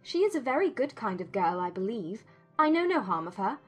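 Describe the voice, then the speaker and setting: A woman voicing a witty, defiant young gentlewoman in a dramatized classic novel, speaking in arch, formal, exclamatory phrases. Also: fairly high pitch, sing-song, fairly quick, husky, soft background music. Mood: arch, challenging.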